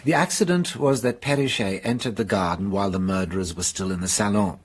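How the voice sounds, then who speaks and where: A shrewd detective. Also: male voice, on the low side, breathy edge. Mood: explaining. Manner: measured, explaining, amused. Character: shrewd French police detective, Inspector Hanaud. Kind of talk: radio drama acting.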